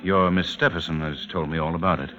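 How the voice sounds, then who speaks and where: A man's voice: low voice, fairly even intonation, a little fast, pushed and bright. An actor playing a guarded, evasive young American man in a 1940s radio suspense drama, curt and slangy. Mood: wary, dry. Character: guarded, wary young man denying his identity. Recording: dull old radio broadcast recording.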